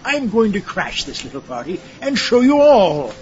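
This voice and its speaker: Male actor as vain mad scientist, sarcastic, theatrical, highly animated, lo-fi comic radio play. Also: normal speed. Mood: scornful boasting. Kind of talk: comic audio drama acting. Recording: dull lo-fi recording, faint noise.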